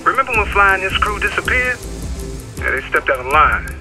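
An actor with an American accent playing a cocky, loose-lipped young gang foot soldier in a video game, brash and slangy. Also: male voice, high-pitched, big pitch swings, brisk, over music. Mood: cocky boasting.

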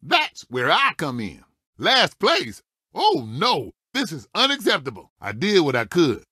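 A folksy old race car, a cocky veteran of the track in an animated video game. His voice is high and forceful, swinging wildly up and down through short, exclamatory lines. The sound is dry and close. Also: unhurried, husky, no room sound, exaggerated voice. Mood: folksy, spirited.

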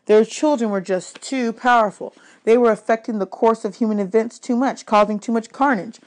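A woman's voice: fairly high pitch, normal speed, darkish tone, natural voice, low-quality recording. A woman voicing a nervous, glum young male satyr sidekick in a children's audiobook, explaining things anxiously. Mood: grave, explaining. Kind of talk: children's fantasy audiobook character.

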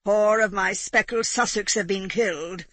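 A woman reading aloud, voicing an indignant country gentleman in agitated, huffy outbursts. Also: medium pitch, steady tone, normal speed, dry recording, pitched above the performer's own voice, lightly acted.

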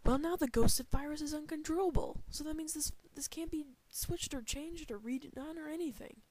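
A man's voice: very high-pitched, a little fast, darkish tone. A man playing an earnest, put-upon researcher in an amateur comic drama, with an exclamatory manner. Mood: dismayed. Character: earnest, put-upon researcher called Goofy seeking a cure.

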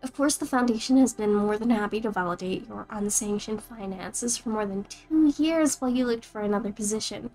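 A woman's voice: mid-range voice, brisk, few pauses, darkish tone, faint music behind, close to an everyday voice. A woman voicing a courteous but condescending operator of a secret agency's phone line. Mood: smug.

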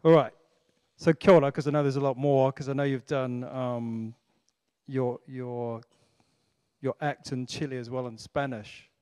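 A man's voice: mid-range voice, steady tone, a little slow, mellow, clean tone. An older man hosts a panel, upbeat and playful, sprinkling in Maori greetings. His handheld mic carries a long reverb tail from the large hall around him. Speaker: panel moderator. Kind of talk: moderating a panel. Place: large formal hall on stage.

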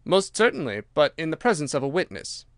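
Precise, assured detective, read by a male narrator; measured delivery, slightly higher voice. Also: big pitch swings, no room sound, clean studio sound. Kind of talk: audiobook character reading.

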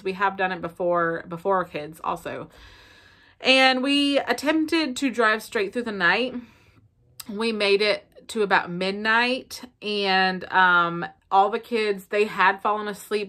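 A woman in her thirties with a Southern American accent, chatting casually to the camera from her bedroom as a family vlogger, full of fillers. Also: medium pitch. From South Carolina. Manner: chatty, expressive, lots of fillers. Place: bedroom at home, indoors. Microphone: camera in front at close range.

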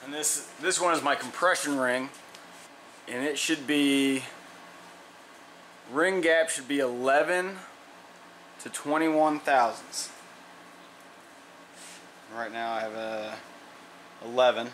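A man's voice: medium pitch, slow, pauses often, fairly bright tone, slight background noise. Plainspoken man explaining engine work. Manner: plainspoken, thinks aloud with fillers and restarts. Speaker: mechanic demonstrating an engine rebuild. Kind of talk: casual how-to narration while working. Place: workshop garage.

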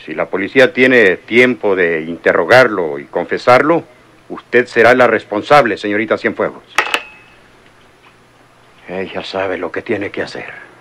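An actor playing a ruthless male crime boss who gives orders in a calm, menacing way, in an old radio drama. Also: fairly low pitch, unhurried, background noise. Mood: cold menacing. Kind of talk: 1960s radio drama acting.

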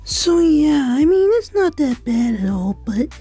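A woman's voice: sits high, big pitch swings, a little slow, creaky, mellow, no echo, faint music behind, full character voice. A woman voicing a sweet, unsure young boy in an amateur cartoon, speaking hesitantly and politely. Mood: shy.

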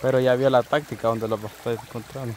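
A man's voice: mid-range voice, flat delivery, a little fast, darkish tone, a little hiss or hum. A young man chatting outdoors by a river, joking and laughing as he sets playful challenges. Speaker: vlogger. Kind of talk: casual outdoor chat.

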